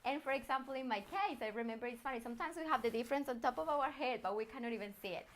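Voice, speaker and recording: A woman's voice: a little high, very fast. A woman from Colombia with a Colombian accent and native Spanish, speaking as a personal branding coach in an encouraging, earnest motivational talk straight to the listener.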